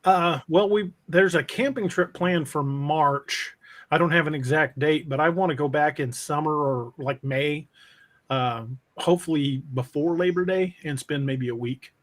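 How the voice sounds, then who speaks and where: A man's voice: a little high, measured pace, a bit bright, no room sound. An American man hosting a casual livestream podcast in an informal, conversational way. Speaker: podcast host.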